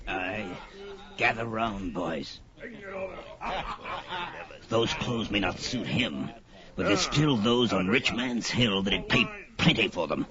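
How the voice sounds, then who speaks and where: An actor playing a sly, greedy ringleader of tavern thugs, conspiratorial and rough in archaic fantasy-drama speech. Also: male voice, fairly low pitch, lively intonation, slow pace, pauses often. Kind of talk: pulp fantasy audio drama acting. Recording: dull lo-fi, crowd behind.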